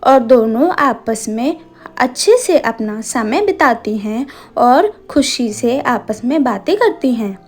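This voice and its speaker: A woman narrates a scripted cartoon story. Her voice is high and she takes it very slowly, line by line. Soft music plays faintly underneath. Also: lively intonation.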